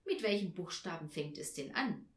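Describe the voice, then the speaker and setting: Woman reading a gentle storybook mother, lilting. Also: fairly low pitch, big pitch swings, moderate pace. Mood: curious. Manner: patient, questioning. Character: gentle, curious mother humouring her child. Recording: roomy echo.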